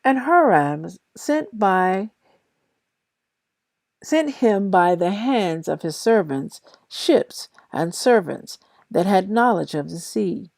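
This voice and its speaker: A woman who sounds a little tired, reading scripture aloud in measured, deliberate short phrases as the host of a daily reading. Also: mid-range voice, animated, vocal fry, a little mellow, dry recording. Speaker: Bible reader and host of a daily reading series. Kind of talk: scripture read aloud with brief casual introduction.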